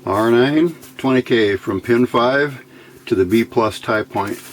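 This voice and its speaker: A man's voice: medium pitch, slow, background noise. A man giving a casual hands-on electronics tutorial, muttering to himself in short fragments as he works indoors at a bench. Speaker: electronics hobbyist demonstrating a build. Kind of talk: casual hands-on tutorial, talking while working. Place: indoor workbench.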